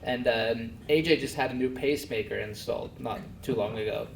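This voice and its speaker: A young man from Denmark talks casually as an interview guest, pausing on fillers and restarting his sentences. His voice sits at an ordinary pitch. He is picked up from a little way off the mic, in a roomy pub with some noise behind. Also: gravelly, recorded from a distance. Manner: soft-spoken, halting with many fillers. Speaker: guest, race car driver.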